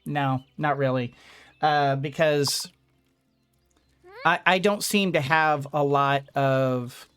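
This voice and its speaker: A woman giving casual video game commentary, halting as she thinks aloud. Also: rumbling low. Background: game music.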